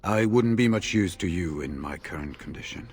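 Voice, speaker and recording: An actor playing a weary, courteous senior warden freed from captivity, measured and formal, in a video game. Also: male voice, deep voice, expressive, a little hiss or hum. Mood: grave, relieved. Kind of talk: video game cutscene acting.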